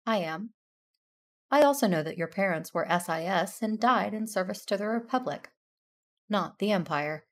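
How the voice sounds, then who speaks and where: A woman playing a calm, firm Jedi aunt in an audio drama, measured and persuasive, with a creaky voice. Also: medium pitch, natural voice. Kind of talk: audio drama acting with first-person narration.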